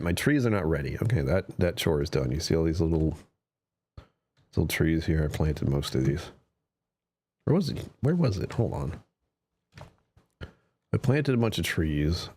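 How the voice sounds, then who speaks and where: A man's voice: rumbling low, vocal fry, a little mellow, slight background noise. A man chatting casually while playing a survival game on a live stream, his voice a little strained, musing to himself and drifting into whispers. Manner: chatty, self-talking, trails off into whispers. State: slightly strained voice. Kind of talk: casual gameplay commentary.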